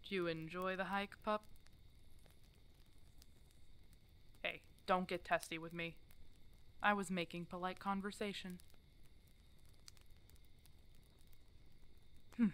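A woman's voice: fairly low pitch, steady tone, unhurried, fairly bright tone. A woman playing a stubborn, protective older werewolf hermit in an ASMR roleplay drama, blunt and teasing in a casual way. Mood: gruff, teasing. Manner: teasing, blunt, casual one-sided dialogue.

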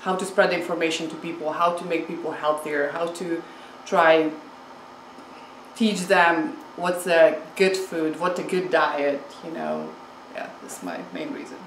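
Hungarian-accented woman, 40s, halting interview answers, lowish voice, echoey home room. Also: animated, a little hiss or hum. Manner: halting, searching for words, many fillers. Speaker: home microgreens grower. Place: home room with window, indoors. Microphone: lapel mic.

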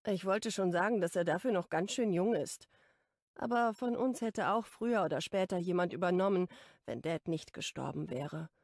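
A woman reads the part of an easygoing young man in a romance audiobook. He talks bluntly and casually, with a teasing brotherly streak. The sound is dry and close, with a gravelly edge. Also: on the low side, normal speed, darkish tone, no room sound, close to an everyday voice. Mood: curious, direct.

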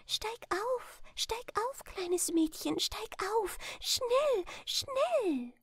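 Tiny, very high whispery dragonfly. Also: female voice, lively intonation, extremely slow, dry recording, full character voice. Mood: urgent. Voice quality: thin, whispery. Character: tiny helpful dragonfly, kind and urgent.

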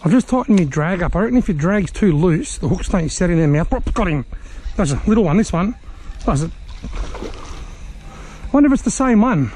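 A high-pitched Australian man talks his way through a fishing trip, chatty and excitable, cheering and chatting to each fish he lands. He is recorded outdoors on a riverbank with an action camera, with faint outdoor noise behind him. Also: fairly quick, long pauses, rough recording. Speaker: fishing vlogger. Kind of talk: casual running commentary while fishing.

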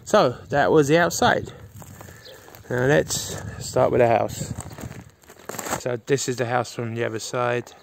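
Gravelly man giving a walking tour. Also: medium pitch, measured pace, noisy background. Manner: chatty, pointing things out as he walks. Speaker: vlogger giving a property tour. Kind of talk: casual walkthrough narration. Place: outdoors on rural land, then inside a farmhouse. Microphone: phone camera held at arm's length.

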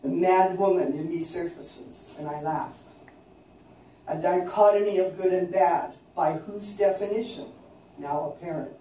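An older woman from coastal British Columbia reading her own poems aloud in a slow, measured recitation at an open mic in a small venue. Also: fairly low pitch, warm tone. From coastal British Columbia, Canada. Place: small dim performance venue, indoors. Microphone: far camera. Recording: very echoey room, distant, faint noise.

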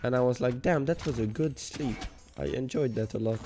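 Rambling Hungarian-accented young gaming streamer. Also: male voice, mid-range voice, fairly quick, slight background noise. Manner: rambling, joking, reads chat messages aloud, sudden excited yells. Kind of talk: casual gaming livestream chat. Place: home room.

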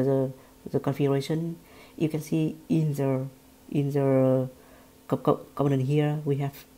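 Vietnamese accented woman, low mellow voice, stop-start tutorial talk, repeats herself a lot. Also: rough recording. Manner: halting, repeats words and restarts often. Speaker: software tutorial presenter. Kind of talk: screen-recorded software walkthrough.

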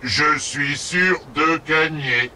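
An actor voicing a friendly robot who wants to play, with slow, drawn-out delivery, in a preschool cartoon. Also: medium pitch, crowd noise. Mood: eager, confident. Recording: music under the voice.

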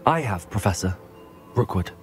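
A man's voice: lowish voice, expressive, moderate pace, faint music behind, lightly acted. A young man playing a polite, curious student wizard who is the hero of a game. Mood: respectful, curious. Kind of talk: game dialogue acting.

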